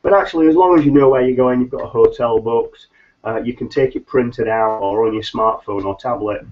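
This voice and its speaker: A middle-aged man, nasal and a little hoarse from hay fever, hosting a solo business webcast in a rambling way with many ums and restarts. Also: medium pitch, fairly quick. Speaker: business consultant host. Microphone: webcam mic on a video call. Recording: slightly dull lo-fi webcam audio.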